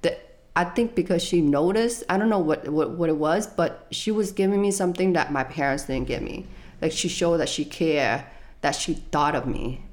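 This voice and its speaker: A young adult woman telling her life story in an interview, soft-spoken and hesitant, with frequent fillers. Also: sits low, moderate pace. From United States.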